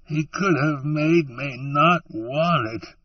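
A man voicing an ashamed, frightened drifter who pleads slowly in rustic grammar, close to tears. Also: on the low side, very animated, slightly rough, dry recording, far from the mic, muffled recording, full character voice. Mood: tearful. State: tearful.

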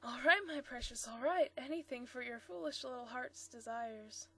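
A woman doing a jovial, brisk young man. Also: on the high side, pushed and bright. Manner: jovial, businesslike. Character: good-humored young blond man, brisk and shrewd.

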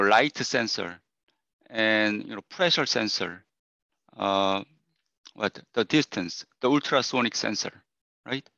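A man lecturing as a professor in an online class, hoarse from losing his voice, talking in halting, repeated phrases with many fillers. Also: medium pitch, pushed and bright, no echo, highs cut off.

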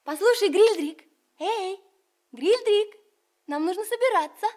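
A worried caring little girl in a radio play, super high childlike voice. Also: animated, unhurried, a little mellow. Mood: brisk, eager. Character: anxious, caring giant young girl nursing tiny Gulliver. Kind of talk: Russian radio play acting.

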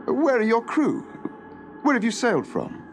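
A man's voice: a little high, big pitch swings, brisk, pitched above the performer's own voice. A man voicing a brave, noble legendary king in an earnest, declamatory storybook manner. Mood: curious.

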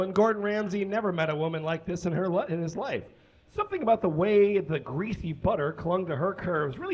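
Male live reader, theatrical comic delivery, voice on the higher side, somewhat muffled recording. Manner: theatrical, emphatic, with stumbles over words. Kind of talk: comic dramatic reading of fan fiction to an audience. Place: small indoor stage with curtains.

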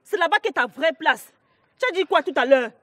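A woman's voice: high voice, animated, fairly quick, fairly bright tone, no room sound, studio quality. A woman with a Cameroonian accent playing an indignant, accusing young sister in a family drama.

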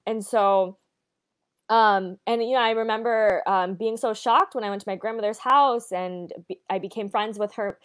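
A woman's voice: mid-range voice, moderate pace, smooth. Reflective young woman moderating a video call. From United States, born in Bangladesh. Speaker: event moderator, nonprofit director. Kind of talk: conversational interview. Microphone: video call webcam.

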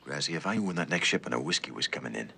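A man's voice: a bass voice, expressive, very fast, gravelly, pushed and bright, slight background noise, full character voice. An actor playing a terse, world-weary tough lawman with a clipped, laconic delivery in an old screen drama. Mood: weary, earnest. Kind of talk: understated mid-century screen acting.

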